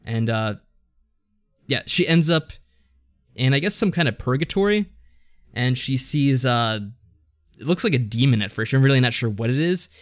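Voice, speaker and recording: A man's voice: mid-range voice, expressive, normal speed, pauses often, no room sound, studio quality. A man co-hosting a casual podcast, recapping a story in a rambling, unsure way and often correcting himself. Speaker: podcast co-host. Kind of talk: casual chat recapping a visual novel story.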